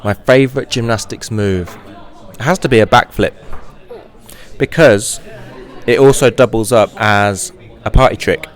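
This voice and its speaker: A man's voice: lowish voice, unhurried, long pauses. A man, an actor about to play his first pantomime role, answering questions in an upbeat, friendly and eager way. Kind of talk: casual interview chat.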